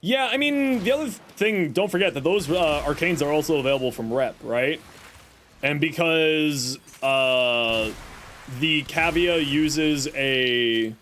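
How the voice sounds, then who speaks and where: A man's voice: a little high, slow, fairly bright tone, a little hiss or hum. A man streaming a game and chatting with viewers, self-deprecating and rambling, who is losing his voice. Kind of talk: casual live-stream chat answering viewers.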